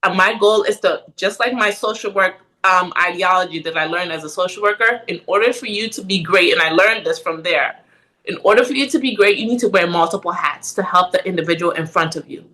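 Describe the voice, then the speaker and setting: A middle-aged woman from Ghana, a podcast guest talking in a casual interview, animated and often ending with "right?". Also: on the low side, brisk, rough recording. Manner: animated, frequent "right?" tag questions.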